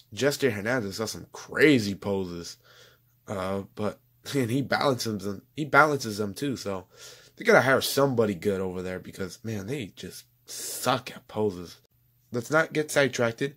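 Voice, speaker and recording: A man's voice: lowish voice, measured pace, a bit bright. Young man losing his voice, chatty. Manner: rambling, chatty, self-correcting. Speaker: toy reviewer. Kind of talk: casual toy review voice-over.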